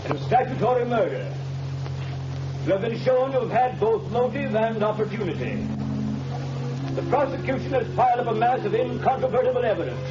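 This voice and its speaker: An actor playing a stern judge speaking in a solemn, formal and measured way, in a 1930s radio drama. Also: male voice, very high-pitched, animated, noisy background. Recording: dull, echoey old radio recording with music.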